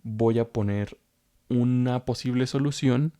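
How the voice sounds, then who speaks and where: A man's voice: medium pitch, unhurried, mellow, smooth. A man teaching programming in a coding tutorial, explaining step by step and repeating himself as he goes. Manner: explains step by step, repeats phrases, frequent fillers. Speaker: programming instructor. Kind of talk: screen-recorded coding tutorial.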